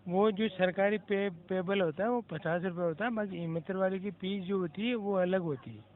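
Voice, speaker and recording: A man speaks as a helpline operator in a fairly high voice. He uses formal, polite address but keeps to procedure with some curtness. It all comes through a narrow phone line. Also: moderate pace. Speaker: government helpline call agent. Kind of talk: formal customer service phone talk. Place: telephone call. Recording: narrow-band phone call recording.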